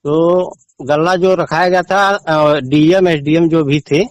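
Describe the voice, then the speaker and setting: A village man speaks as a caller with a complaint on a recorded phone line, his voice a little high and free of echo. His eastern Uttar Pradesh accent comes with a halting, respectful way of answering. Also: steady tone. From Azamgarh region, India. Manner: deferential, halting. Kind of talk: answering questions in a phone call. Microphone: recorded phone call.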